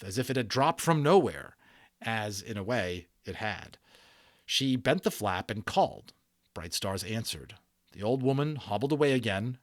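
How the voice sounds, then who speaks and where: A man reading a story aloud as a dramatic, expressive narrator, with casual explanations for listeners. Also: medium pitch, dry recording, studio quality. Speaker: audiobook narrator and channel host.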